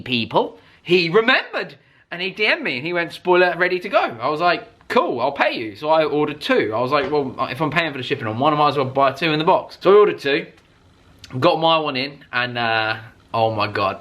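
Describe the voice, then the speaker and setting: A man's voice: a little high, big pitch swings, brisk, pushed and bright. A British man telling a story in a casual vlog style, rambling enthusiastically with plenty of slang and swearing. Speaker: car vlogger telling a story.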